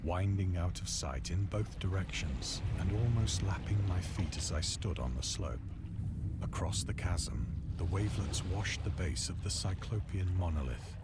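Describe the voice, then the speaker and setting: An actor playing a haunted, awestruck castaway narrating gothic horror in a grave, measured, formal manner. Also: male voice, deep voice, heavy background noise. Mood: awed dread. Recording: music behind the voice. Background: prominent music.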